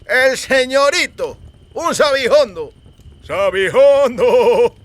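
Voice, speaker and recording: A man voicing a huge, simple-minded laborer in an audiobook, taunting with drawn-out words. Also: extremely high pitch, lively intonation, voice pushed up, exaggerated voice. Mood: jeering. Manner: drawn-out, sing-song taunting.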